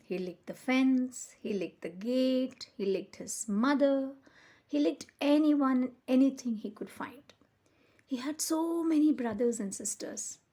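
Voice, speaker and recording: Slow, high-voiced woman reading a children's story. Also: warm tone. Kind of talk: expressive read-aloud of a children's picture book.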